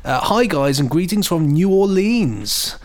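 A man's voice: a little high, expressive, measured pace, a little mellow. A man co-hosting a podcast, chatting casually and jokily and reading out listener emails. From England. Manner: chatty, jokey. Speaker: podcast co-host.

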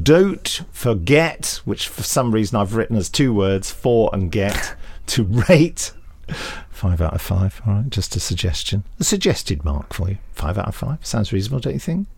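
A man's voice: fairly low pitch, sing-song, normal speed, darkish tone, breathy edge. A man hosting a podcast outro, joking, rambling and making fun of himself. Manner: playful, rambling, self-mocking. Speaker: podcast host.

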